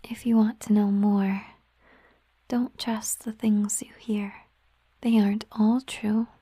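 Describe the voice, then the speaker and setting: A woman plays a gentle siren girlfriend in an intimate ASMR roleplay. Her voice is a breathy, mellow whisper, slow and softly musing. It is recorded close and dry. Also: mid-range voice, level tone, no room sound. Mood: gentle, explaining. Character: gentle, affectionate female siren, a mermaid girlfriend. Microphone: close microphone, dry sound.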